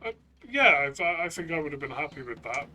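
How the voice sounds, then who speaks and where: A man's voice: fairly high pitch, sing-song, quick pace, pushed and bright, distant mic. A man casually discussing a roleplaying game as one of its players, giving his opinions tentatively.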